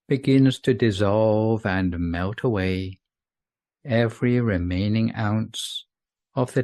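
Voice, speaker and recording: Slow, low-voiced man guiding sleep meditation. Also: dry recording, clean studio sound. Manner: soothing, unhurried, gentle, with long pauses. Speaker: meditation guide. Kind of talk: scripted guided sleep meditation.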